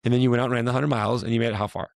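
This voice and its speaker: Man, raspy, hoarse, low gravelly voice, rambling chat, dry room. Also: flat delivery, very fast, no echo. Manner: rambling, restarts often, questioning. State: hoarse from a week of yelling. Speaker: podcast host, fitness coach. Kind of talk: casual chat.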